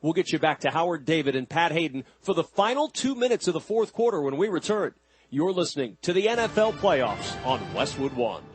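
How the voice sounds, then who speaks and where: A man's voice: fairly high pitch, very animated, brisk, soft background music. An American man presenting a scripted sports radio link from a studio. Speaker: studio host.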